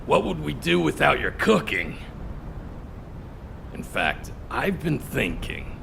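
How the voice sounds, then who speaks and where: An actor playing a boisterous, eager soldier who loves food, in a video game, hearty and enthusiastic. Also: male voice, fairly high pitch, very animated, takes it slowly, gravelly, noisy background, full character voice.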